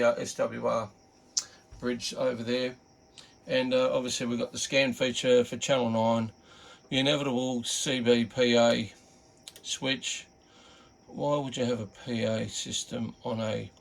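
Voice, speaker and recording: A middle-aged or older Australian man giving a casual, unscripted product walkthrough in a laid-back, halting, rambling way. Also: medium pitch, vocal fry. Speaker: hobbyist radio reviewer.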